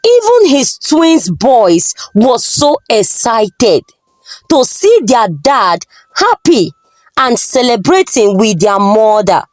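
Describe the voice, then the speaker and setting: A woman's voice: a little high, dry recording. A woman with a Nigerian accent narrating a folktale in a dramatic storytelling style.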